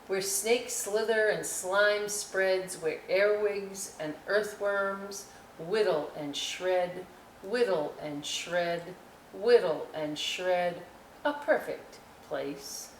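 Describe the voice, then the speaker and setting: A woman hosting a children's storytime, reading aloud and explaining crafts with folksy, chatty, expressive asides to young listeners. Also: medium pitch. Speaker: library storytime host. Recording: roomy echo, distant mic, faint noise.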